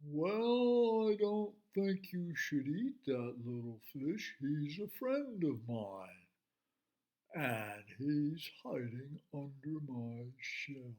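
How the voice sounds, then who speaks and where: Unhurried kindly old turtle, soft and patient, voiced by a male storyteller. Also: on the high side, very animated, gravelly, full character voice, low-quality recording. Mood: gentle. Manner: slow and unhurried.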